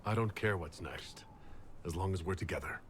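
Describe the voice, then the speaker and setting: A steadfast, calm male hero from a fantasy game. His very deep voice is understated and assured, set against noisy ambience. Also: moderate pace. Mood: calm, resolute. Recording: game audio, clear background ambience.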